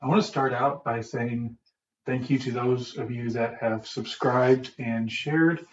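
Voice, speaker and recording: A man's voice: medium pitch, fairly even intonation, unhurried, recorded from a distance. A man co-hosting a podcast in easygoing casual chat, often laughing along with his co-host. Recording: roomy, dull sound from off mic, faint hiss.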